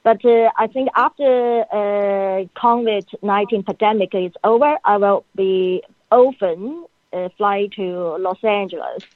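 Female guest, halting and filler-heavy, heard over a dry, tinny phone line. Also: mid-range voice, no echo. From China. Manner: halting, frequent uh fillers, non-native grammar. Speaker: guest, PhD in psychology. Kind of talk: phone-in interview answers.

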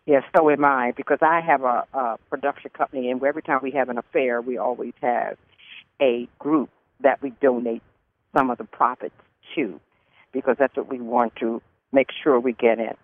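A man's voice: mid-range voice, steady tone, moderate pace, no echo. A man hosting a talk show and interviewing a guest, with frequent brief words of agreement. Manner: affirming, lots of brief acknowledgements. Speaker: radio show host. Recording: dry, tinny phone-like line.

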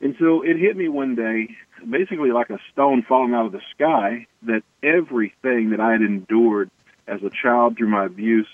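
An American man from Tennessee telling his personal story earnestly and haltingly as an interview guest. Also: medium pitch, no room sound. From Tennessee, United States. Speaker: interview guest, anti-racism activist. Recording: tinny band-limited phone-like line.

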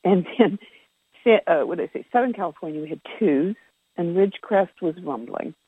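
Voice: medium pitch, expressive, normal speed, husky. A person giving an informal spoken report from notes on a conference call, in a conversational way with self-corrections, heard over a telephone line. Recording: tinny, phone-like conference call line with echo.

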